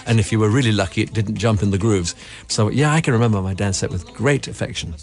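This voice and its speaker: Man, rapid casual reminiscing, lowish pitch, music behind, vintage tape.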